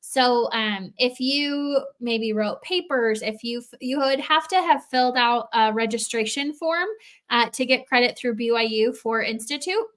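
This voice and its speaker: A woman's voice: on the high side, a little slow, a bit bright. A woman on a live stream answering viewers' questions in a patient, explanatory way, with frequent filler words. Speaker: program staff answering questions.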